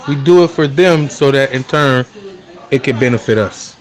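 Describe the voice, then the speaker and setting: Man, earnest, unhurried, lots of fillers, mid pitch. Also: poor audio. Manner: earnest, unhurried, frequent fillers like "you know" and "um". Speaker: interviewee, spiritual practitioner. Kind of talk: casual conversational explanation.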